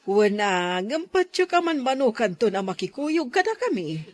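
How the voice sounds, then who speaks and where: A woman's voice: fairly high pitch, very animated, normal speed. An actress playing a teasing, chatty woman friend in a radio drama.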